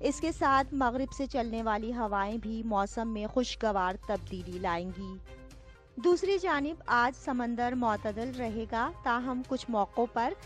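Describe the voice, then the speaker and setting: A woman's voice: on the high side, unhurried, soft background music. A woman presenting a scripted news bulletin in a formal, declarative newsreading manner. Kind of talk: scripted news bulletin narration in Urdu register.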